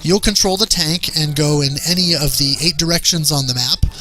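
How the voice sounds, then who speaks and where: A man hosting a video game review as scripted narration, delivered in a measured, theatrical dramatic-reading manner. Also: on the high side, pushed and bright, poor audio.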